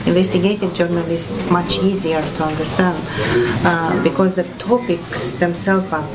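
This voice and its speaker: A woman's voice: fairly low pitch, fairly even intonation, normal speed, very noisy. Earnest woman from Moldova. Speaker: journalist. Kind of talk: interview answers. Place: indoor room, seated.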